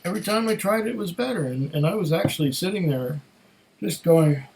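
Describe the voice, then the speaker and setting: A man with a slightly hoarse voice giving a casual solo tasting review, musing in an unhurried, savoring way and talking to himself. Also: a little high, animated, a little mellow, slight background noise, rough recording. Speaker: whisky reviewer.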